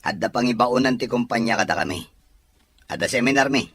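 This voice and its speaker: An actor playing an evasive, cheating husband in an audio drama, sweet-talking and smooth. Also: male voice, medium pitch, fairly quick, a bit bright, close to an everyday voice. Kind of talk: Ilocano audio drama acting.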